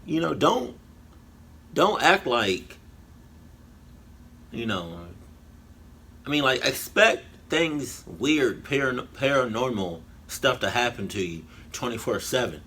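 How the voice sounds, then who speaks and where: A man giving casual, rambling reaction commentary in colloquial slang, haltingly and often repeating his phrases. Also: medium pitch, very animated, bright, forceful tone, husky. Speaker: reaction video host.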